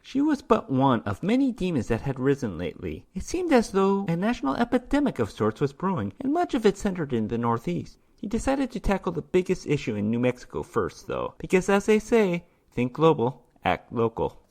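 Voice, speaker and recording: Story narrator, mid-pitched and very animated, reading scripted fiction dramatically. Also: a little fast, few pauses.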